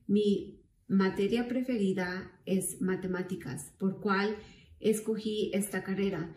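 A woman's voice: medium pitch, steady tone, slow pace, a little mellow. A middle-aged woman from the United States giving a prepared self-introduction as a volunteer presenter indoors. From Chicago, United States. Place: room indoors. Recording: echoey room, distant mic.

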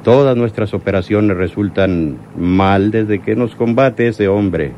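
An actor playing a bitter, menacing crime boss in a Mexican radio drama, with a Mexican accent. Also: male voice, fairly low pitch, slow pace, a little mellow, muffled recording, slight background noise. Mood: bitter, angry.

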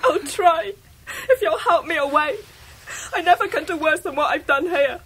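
A woman's voice: high-pitched, moderate pace, a bit bright, rough recording. An actress playing a desperate, outcast young working-class woman, tearful and pleading, in a radio drama. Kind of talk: 1990s radio drama acting.

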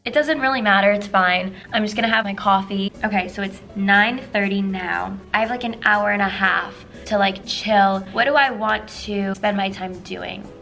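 A young woman talks straight into a handheld camera held at arm's length, her voice raspy and strained. She chats in an animated, rambling way, full of fillers. Music plays clearly underneath. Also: medium pitch, normal speed, few pauses. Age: young adult. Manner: chatty and animated, many fillers. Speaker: vlogger. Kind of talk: casual vlog chat to camera. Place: small indoor rooms and study room.

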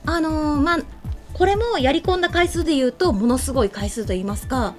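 A woman's voice: high-pitched, a little fast. A woman co-hosting a casual chat show about favourite things, recorded at a studio desk microphone. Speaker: co-host. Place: small indoor studio set. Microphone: desk microphone on boom arm. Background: background music.

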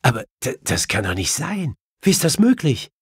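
A man's voice: mid-range voice, sing-song, moderate pace, husky, no echo, studio quality, voice pushed up. A man voicing a detective's earnest doctor companion in an audiobook, speaking politely. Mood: astonished.

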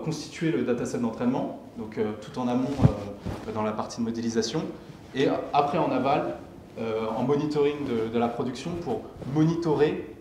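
A young man speaks at a tech meetup in a mid-range voice. He explains step by step and haltingly, often putting a question and then answering it. The room is clearly echoey, with audible noise behind him. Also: mid-range voice. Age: young adult. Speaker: tech meetup speaker presenting his company's software. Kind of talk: technical conference presentation. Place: indoor lecture room, at a lectern.

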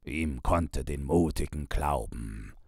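Man playing honest old-world steward, grave warning, very low pitch, slow, husky, ballad recital. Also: lively intonation, a little mellow, no echo, clean studio sound, exaggerated voice. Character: horrified, honest male house steward warning his master.

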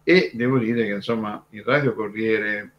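A man hosting a casual talk on radio history, speaking in a halting, digressive way and often rephrasing himself. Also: fairly low pitch, muffled recording. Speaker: radio show host.

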